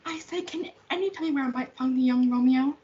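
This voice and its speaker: A woman's voice: high voice, a little fast, dark tone, breathy edge. A young woman playing a fussy, protective nurse in amateur reader's theatre. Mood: insistent. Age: teenager. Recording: dull lo-fi audio.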